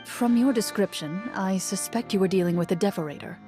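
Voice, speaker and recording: An actress playing a composed, formal female duelist and monster hunter in a video game, speaking in a measured, reserved manner. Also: medium pitch, over music, close to an everyday voice. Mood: cool, probing.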